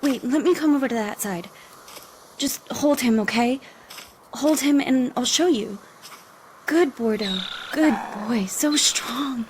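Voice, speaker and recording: An actress playing a protective, steadfast young woman urging a weary companion on in an audio drama, with gentle reassurance. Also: sits high, takes it slowly, slight background noise. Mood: urgent, encouraging. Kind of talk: cinematic audio drama acting.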